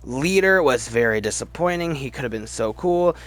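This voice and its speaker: A man hosting a movie ranking video with casual, opinionated commentary in an animated, rambling, halting way that often repeats words. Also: mid-range voice. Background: background music.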